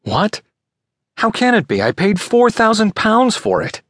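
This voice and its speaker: A man's voice: mid-range voice, expressive, normal speed, a bit bright, dry recording, close to an everyday voice. A man plays an anxious male customer in an audiobook. He sounds insistent and disbelieving, pushing for answers, in a voice recorded close and dry. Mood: incredulous. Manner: pleading, insistent. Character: Gideon, an anxious, disbelieving male manuscript seller. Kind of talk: audiobook character voice.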